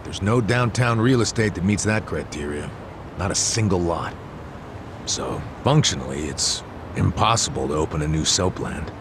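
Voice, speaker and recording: An actor playing an earnest, gruff middle-aged man in a video game, speaking hesitantly with a gravelly voice. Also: low-pitched, expressive, moderate pace, noisy background. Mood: explanatory. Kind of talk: English video game cutscene dub. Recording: music behind the voice.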